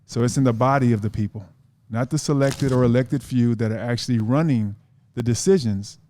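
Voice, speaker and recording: A man's voice: mid-range voice, brisk, mellow, a little hiss or hum. A man, an author guest on a podcast, talking earnestly and casually, often repeating words as he thinks.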